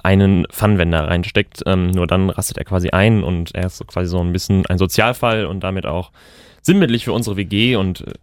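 A man's voice: low-pitched, steady tone, brisk, no echo. A young man co-hosting a student radio podcast, chatting casually with dry, wry asides. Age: young adult. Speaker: podcast co-host.

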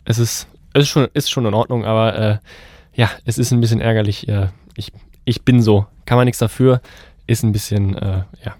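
A man's voice: on the low side, steady tone, moderate pace, a little mellow, no room sound, clean studio sound. A young man with a cold, co-hosting a student radio podcast in a loose, rambling way with many fillers and restarts. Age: young adult. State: has a cold. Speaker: podcast co-host. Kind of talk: casual radio podcast chat.

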